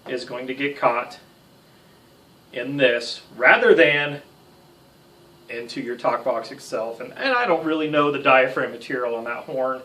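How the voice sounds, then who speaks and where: Bright-voiced American man, a little far off. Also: mid-range voice. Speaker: guitar instructor. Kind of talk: casual tutorial talk. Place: small home music room.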